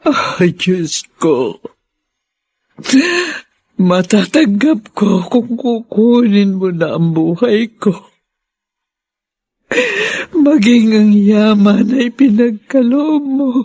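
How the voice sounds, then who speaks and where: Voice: medium pitch, lively intonation, warm tone, breathy edge, dry recording, exaggerated voice. An actor playing an anguished dying person praying and pleading with God in a Filipino radio drama. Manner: slow, pleading, prayerful.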